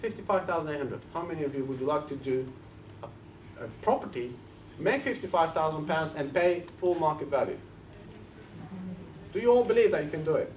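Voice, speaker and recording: Animated Bulgarian-accented man in an echoey room. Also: a little high, a little fast, pauses often. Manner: animated, addresses the audience directly with questions. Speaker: seminar presenter. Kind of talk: live seminar presentation. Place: meeting room with an audience. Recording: echoey room, distant mic, muffled, faint hiss.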